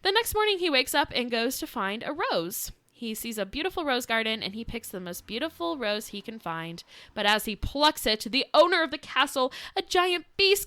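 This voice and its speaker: A woman's voice: high voice, pushed and bright, no echo. A young woman co-hosting a podcast, casually retelling a fairytale with sarcastic asides, laughter and quotes acted out in voices. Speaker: podcast co-host. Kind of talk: casual storytelling chat.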